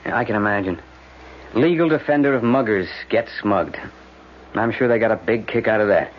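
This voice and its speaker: An actor playing a weary, wry defense lawyer who grumbles drily in a vintage radio drama. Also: male voice, on the low side, moderate pace, close to an everyday voice. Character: weary, sardonic male city defense lawyer. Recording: dull, noisy old radio recording.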